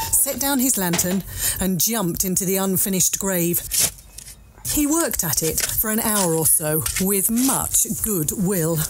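A woman's voice: mid-range voice, noisy background. A woman narrating an audio drama as a scripted, dramatic storyteller.

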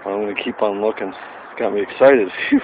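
Excitable man on tinny outdoor camera. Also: fairly low pitch, a little fast. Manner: excitable, chatty, rambling. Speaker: metal detecting vlogger. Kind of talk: casual outdoor vlog narration. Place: wooded area outdoors. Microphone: handheld camera. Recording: tinny lo-fi camera audio with noise.

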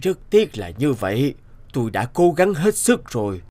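A man's voice: mid-range voice, sing-song, normal speed, warm tone, natural voice. A man playing a grave, regretful physician in a cartoon, speaking in a solemn and formal way. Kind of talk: animated cartoon dialogue.

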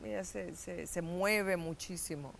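A middle-aged Puerto Rican woman, an actress, telling stories as a guest in a TV studio interview, animated and gesturing. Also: on the low side, unhurried, gravelly, slight background noise. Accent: Puerto Rican Spanish. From Puerto Rico. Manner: animated storytelling with gestures, laughs and acted-out quotes. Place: TV studio set.

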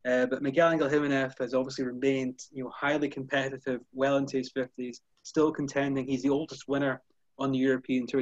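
A man's voice: mid-range voice, dry recording. A man in his thirties with a Scottish accent, a golf journalist talking fluently on a video call, with lots of "you know" fillers. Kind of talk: casual video-call chat. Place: indoor room with curtains behind. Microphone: headphones on a video call. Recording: dull, dry video call audio.

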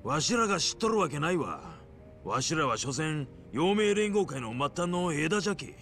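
A man's voice: on the high side, sing-song, moderate pace, fairly bright tone, faint music behind. A man playing a blunt, anxious yakuza with a Hiroshima accent in a video game drama.

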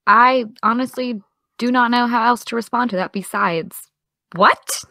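A woman's voice: a little high, a little slow, bright, forceful tone, dry recording. A young actress playing an exasperated, defensive young woman with dry comebacks in an audio drama. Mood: baffled. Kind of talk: audio drama dialogue of a video call.

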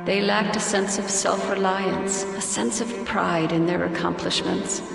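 An actress playing a solemn, gently wise ghostly former goddess in a game cutscene, speaking in a measured way. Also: female voice, medium pitch, steady tone, vocal fry. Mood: solemn, gentle. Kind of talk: scripted game cutscene acting. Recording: long cavernous reverb, music behind.

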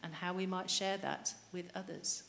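A middle-aged woman delivers a sermon at a church lectern, her voice low and steady. She earnestly puts questions to the listeners. A long church reverb and faint hiss sit behind her. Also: moderate pace, gravelly. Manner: earnest, asks the congregation questions. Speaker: preacher. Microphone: lectern mic.

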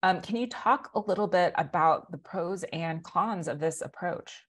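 A woman's voice: on the low side, normal speed, breathy edge. An American woman in her thirties hosting a business news interview in a composed, articulate way. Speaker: interviewer, host.

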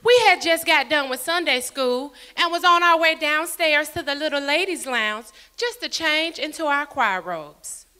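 A woman's voice: sits high, expressive, normal speed, few pauses, bright, forceful tone. A woman playing a sweet little girl, recounting cheerfully into a handheld mic. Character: youngest girl victim, lively and sweet. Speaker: actor in a church skit.

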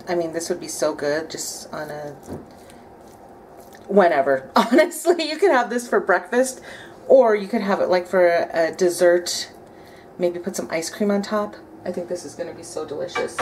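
A woman's voice: lowish voice, darkish tone. A woman in her thirties, chatting upbeat as she cooks a recipe at home and thinks aloud. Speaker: home cooking vlogger. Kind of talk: casual cook-along narration. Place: home kitchen, indoors. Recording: home kitchen, clear noise of dishes and utensils. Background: clinking cutlery and pots.

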